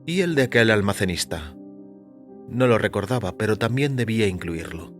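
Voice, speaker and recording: A man narrating a scripted first-person story in a measured, literary way. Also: fairly low pitch, expressive, fairly bright tone, faint music behind.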